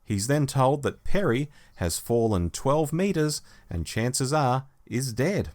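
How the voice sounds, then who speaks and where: A man presents a review podcast, reading through a written synopsis. His mid-pitched voice moves up and down a good deal, giving the narration a lively shape. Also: takes it slowly. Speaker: podcast host.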